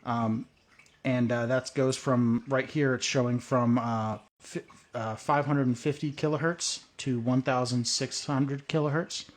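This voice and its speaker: A man talks through a workbench project in a relaxed, rambling tutorial voice, scattering "uh" and "um" as he goes. His voice sits in an ordinary middle pitch. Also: unhurried. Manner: loose and rambling, many "uh" and "um". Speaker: prepping channel host. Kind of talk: casual hands-on tutorial.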